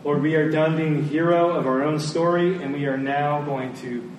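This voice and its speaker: A man's voice: a little high, steady tone, normal speed. A man preaching a sermon as a pastor, earnest and emphatic, repeating key phrases. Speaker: pastor preaching to his church. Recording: roomy, distant mic, faint noise.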